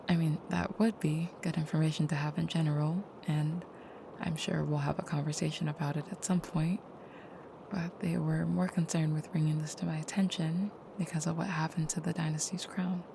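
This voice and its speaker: Woman acting a soft, creaky-voiced vampire girlfriend. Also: low-pitched, steady tone, normal speed, background noise. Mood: hesitant, confiding. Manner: soft, hushed, hesitant, often dropping to a near whisper. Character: gentle, caring vampire girlfriend, soft-spoken and hesitant. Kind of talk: intimate audio roleplay, comforting the listener.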